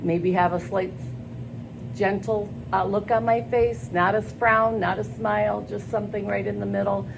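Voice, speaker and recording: An older woman answering job interview questions in an earnest, halting way, with many pauses and fillers. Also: mid-range voice, gravelly. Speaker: school staff job candidate. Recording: noisy background.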